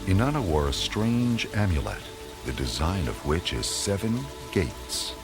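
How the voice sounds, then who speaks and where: A man's voice: low-pitched, very animated, unhurried, over music, heavily acted. A man narrating a radio play from a script in a portentous, atmospheric storytelling manner.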